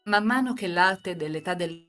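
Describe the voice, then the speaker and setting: A woman's voice: fairly low pitch, expressive, moderate pace, pushed and bright. A woman reading scripted narration for a live visual novel reading. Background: music.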